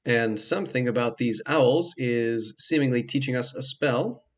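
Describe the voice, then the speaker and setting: A man giving casual, explanatory let's play commentary, with hesitant pauses as he goes. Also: medium pitch, muffled recording. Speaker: video game player and commentator.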